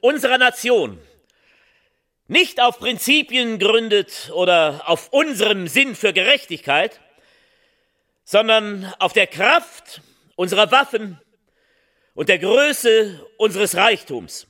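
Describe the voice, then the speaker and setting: An actress playing a solemn, stirring political leader who declaims a theatrical speech in weighty, measured phrases. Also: female voice, mid-range voice, animated, a bit bright. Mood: proud, forceful. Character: female political leader, solemn, stirring, coldly militant orator.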